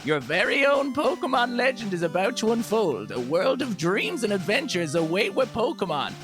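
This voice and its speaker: A man's voice: high-pitched, sing-song, moderate pace, over music, voice pushed up. Man playing a grand, forgetful old game professor. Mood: grand, welcoming. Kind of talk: video game role-play narration.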